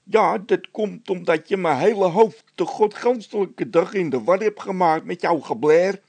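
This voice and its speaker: A man's voice: sits high, normal speed, darkish tone, slightly rough, dry recording, pitched above the performer's own voice. A man voicing a dim, stubborn workman who whines and stammers evasively in a storybook. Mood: accusing.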